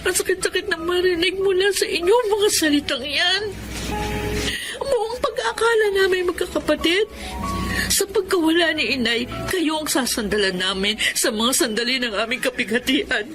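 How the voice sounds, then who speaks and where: An actress playing a grieving, dutiful young eldest daughter, wounded but respectful, in a Filipino radio drama. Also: female voice, very high voice, lively intonation, normal speed, over music, rough recording. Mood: anguished, tearful.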